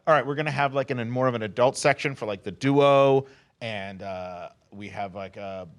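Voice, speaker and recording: A man's voice: medium pitch, normal speed. Casual, slangy man chatting in interview. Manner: slangy, joking, heavy use of "like". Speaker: comics company representative interviewed. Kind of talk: casual, animated interview chat.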